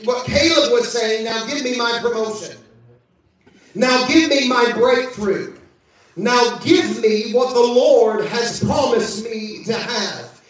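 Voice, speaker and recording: A man's voice: very high-pitched, slow pace, recorded from a distance. A man preaching a sermon in a church service, reading scripture aloud and calling out to the congregation. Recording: echoey church from off-mic.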